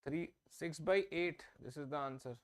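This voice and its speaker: A man's voice: fairly high pitch, moderate pace. A man teaching an online math class. He explains each step, repeats himself and often checks that students follow. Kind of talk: online exam-prep class. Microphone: own microphone, online class.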